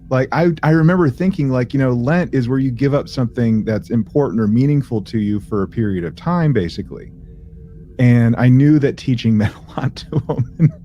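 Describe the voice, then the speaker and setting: A man's voice: medium pitch, warm tone. Casual male podcaster, dull lo-fi sound. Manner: slangy, rambling, joking. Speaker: podcast co-host. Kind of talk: casual chat and anecdotes between friends.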